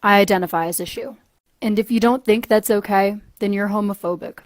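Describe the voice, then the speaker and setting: A woman's voice: medium pitch, steady tone, a little fast, no echo. Sarcastic woman, dry close sound. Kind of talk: opinion rant to camera. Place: United States.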